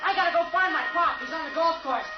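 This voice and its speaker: Breezy excited neighbor kid, old radio drama, muffled, loud music behind. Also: female voice, very high-pitched, quick pace, roomy sound. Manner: breezy, exclamatory. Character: excitable neighborhood boy on a bicycle.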